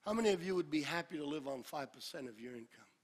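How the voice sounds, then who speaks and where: A male pastor preaching a sermon, building to shouted peaks and dropping into joking asides. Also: fairly high pitch, lively intonation, fast talker, slightly rough. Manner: builds to shouted climaxes, then drops to a jokey aside. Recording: long hall reverb.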